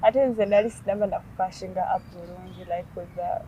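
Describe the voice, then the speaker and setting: Young Ugandan woman with a mellow voice. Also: on the low side, steady tone, fast, recorded from a distance, lo-fi sound, slight background noise. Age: young adult. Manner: soft-spoken, thoughtful, uses "like" and "you know". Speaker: guest, beauty queen. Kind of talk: interview answers, mixing Luganda and English.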